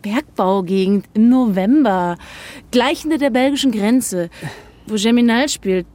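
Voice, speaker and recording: A woman's voice: on the high side, unhurried, darkish tone, close to an everyday voice. A woman playing a young student in love in a naturalistic radio drama, playful and modest with a hesitant, teasing manner. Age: young adult.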